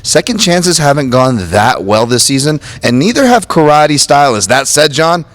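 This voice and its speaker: A man's voice: a little high, very animated, moderate pace, no room sound. A man giving fight analysis on a panel, conversational and hedging as he breaks down the matchup.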